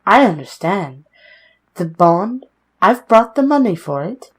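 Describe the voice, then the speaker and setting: A woman's voice: mid-range voice, very animated, takes it slowly, husky, heavily acted. An actress playing a meek, earnest young woman seeking work, timid, polite and deferential, in an old-time radio drama style. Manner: polite, timid, hesitant, formal.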